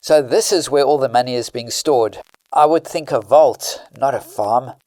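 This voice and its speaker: A woman's voice: a bass voice, moderate pace, mellow, no echo. A woman playing a dry-witted, wry sidekick investigator in a radio drama.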